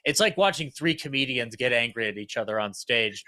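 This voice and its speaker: A male host chats casually with his guests in a bright, punchy voice. He is effusive and enthusiastic, and the sound is dry with very little room around it. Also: mid-range voice, animated, fairly quick, no room sound. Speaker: host and producer of a radio show recreation. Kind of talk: casual panel chat.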